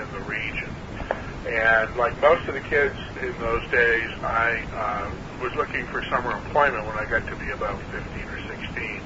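A man's voice: mid-range voice, dull audio, background noise. A man in his sixties from New Hampshire, recalling his life in an oral history interview, hesitant with many fillers and a frog in his throat. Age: 60s.

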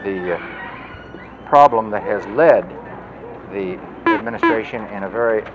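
A man campaigning for office and answering a voter's question in measured political phrasing, with a few stumbles. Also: fairly low pitch, sing-song. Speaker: political candidate. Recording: lo-fi outdoor recording with street noise.

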